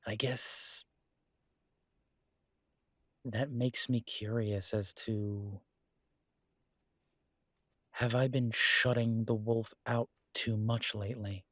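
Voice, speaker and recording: A man playing a conflicted, protective werewolf pack leader in an intimate audio roleplay, speaking hesitantly and reflectively with halting pauses. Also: lowish voice, steady tone, fairly bright tone, dry recording, clean studio sound, exaggerated voice.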